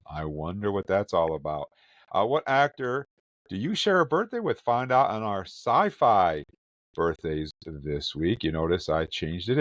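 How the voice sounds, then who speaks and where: A man's voice: on the low side, no echo. A man hosting a casual podcast, introducing his co-hosts with an upbeat, jokey manner. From Vermont. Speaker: podcast host.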